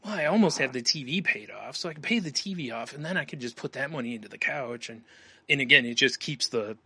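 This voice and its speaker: A man's voice: medium pitch, expressive, quick pace, bright, forceful tone, breathy edge, no room sound. A man co-hosting a podcast in casual, slangy comedic chat, riffing with little acted-out quotes. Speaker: podcast co-host.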